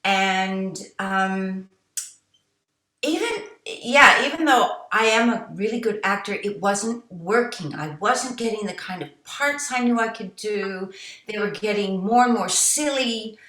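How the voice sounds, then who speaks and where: A middle-aged woman, a jazz singer and songwriter, telling her story as an interview guest in an animated way, quoting herself and others as she goes. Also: mid-range voice, a little slow, roomy sound. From United States. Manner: animated, tells stories with quoted asides and laughs.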